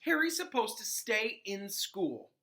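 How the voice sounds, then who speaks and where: A man's voice: high voice, sing-song, unhurried, pushed and bright, voice pushed up, full character voice. A man voicing an earnest, caring and bossy teenage schoolgirl in a storybook, with emphatic, coaxing delivery. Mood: disapproving.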